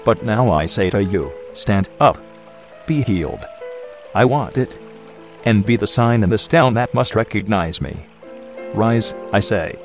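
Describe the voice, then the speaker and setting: A man playing a calm, solemn spiritual teacher. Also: on the low side, a little slow, soft background music. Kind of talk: radio drama acting.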